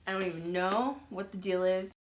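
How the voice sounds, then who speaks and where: A woman hosting a paranormal podcast, retelling a grim case in casual, rambling talk full of "like" and "um", often clearing her throat as she says she is losing her voice. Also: medium pitch, steady tone, moderate pace, slight background noise. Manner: rambling, filler-heavy, with many "like" and "um"; uneasy and apologetic about the grim subject. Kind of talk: casual true-crime retelling to viewers.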